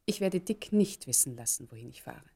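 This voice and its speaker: An actress in a German radio play playing a poised, determined mother, speaking emphatically and deliberately. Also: a bass voice, animated, vocal fry, dark tone, slightly rough, full character voice. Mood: resolute. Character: poised, determined mother resolving to test her lover.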